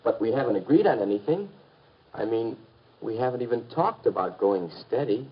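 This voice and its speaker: A man's voice: medium pitch, animated, normal speed, slight background noise, natural voice. An American man acting as an earnest, polite, mild-mannered teenage son in a 1950s educational film. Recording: dull, muffled 1950s film soundtrack.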